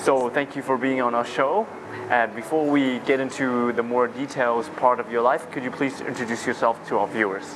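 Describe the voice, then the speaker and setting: Brief: male host, upbeat and encouraging, mid pitch, clear traffic and air-con hum behind. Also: fairly even intonation, fast talker. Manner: upbeat, encouraging with frequent backchannels. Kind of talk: on-location interview.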